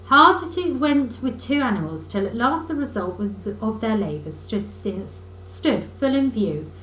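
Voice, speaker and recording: A woman's voice: fairly high pitch, big pitch swings, unhurried, a bit bright. A woman narrating a children's story aloud expressively, like a teacher reading to pupils. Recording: roomy echo, faint background noise.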